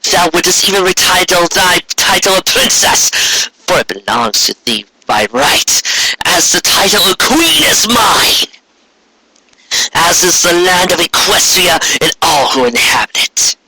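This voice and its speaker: A man's voice: sits high, sing-song, measured pace, pushed and bright, voice pushed up, exaggerated voice, low-quality recording. A man voicing an imperious, self-righteous elder female alicorn queen in a fantasy story, speaking in grandiose, haughty archaic phrases. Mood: pleading, proud.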